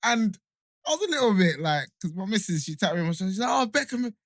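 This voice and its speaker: A man hosting a casual podcast, reacting quickly and eagerly in slang and telling his own anecdotes. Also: sits high, big pitch swings, dry recording, clean studio sound. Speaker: podcast host.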